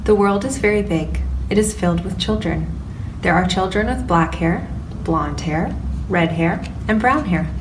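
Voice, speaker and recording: A woman's voice: on the low side, a little slow, roomy sound. Woman reading a children's book aloud. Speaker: storyteller. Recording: distant mic with background noise.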